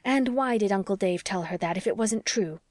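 A woman's voice: medium pitch, normal speed, a little mellow, no echo, clean studio sound, voice pushed up, lightly acted. A woman voicing a passionate, protective young wife who argues in an emphatic, pleading way, as in an audiobook. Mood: argumentative. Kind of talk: audiobook character dialogue.

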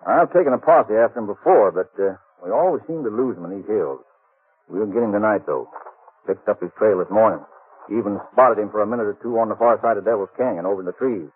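A no-nonsense posse sheriff in an old radio western, husky voice, folksy, muffled sound. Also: male voice, lowish voice, fast talker. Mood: grim, determined. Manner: folksy, matter-of-fact. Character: plainspoken, determined frontier sheriff leading a posse. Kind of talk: 1950s radio western acting. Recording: dull old 1950s radio recording.

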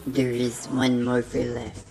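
An actor playing a weak, dying robot helper, halting and stammering, in an audio drama. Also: male voice, mid-range voice, monotone, vocal fry. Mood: weak, struggling.

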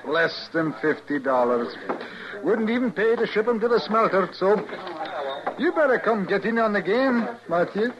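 High-voiced, glum western townsman. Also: lively intonation, moderate pace, noisy background. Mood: mournful. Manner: folksy, droll, mournful. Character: glum, folksy, formal-spoken frontier townsman named Miles. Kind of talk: old-time radio western acting. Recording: dull vintage radio recording, crowd murmur.

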